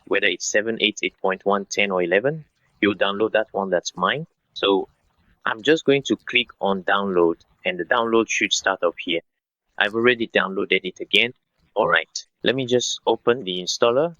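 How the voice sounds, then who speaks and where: A man in his thirties narrating a step-by-step software tutorial in an instructional way, speaking directly to viewers. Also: fairly low pitch, no room sound, lo-fi sound. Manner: instructional, addresses viewers as guys.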